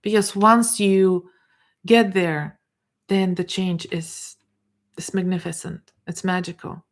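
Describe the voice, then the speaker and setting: A middle-aged woman with a Bulgarian accent, giving a live coaching talk in a halting, unscripted way, restarting and repeating words as she searches for them. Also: lowish voice, steady tone.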